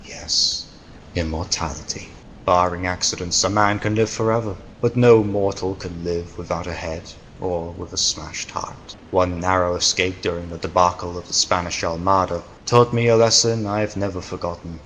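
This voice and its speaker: Deep, grandiose immortal caveman villain. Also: fairly even intonation, normal speed, a bit bright, slight background noise, rough recording. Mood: weary, bored. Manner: theatrical, grandiose, menacing declamation. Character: immortal million-year-old Neanderthal villain, grandiose and bored. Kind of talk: old-time radio drama acting.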